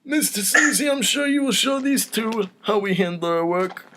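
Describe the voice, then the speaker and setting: A man's voice: high voice, animated, normal speed, noisy background, voice pushed up. A man voicing a blustering mafia boss with emphatic, theatrical gangster patter in a comedy script read. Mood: upbeat. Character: mafia Don, blustering boss with cotton-stuffed mouth.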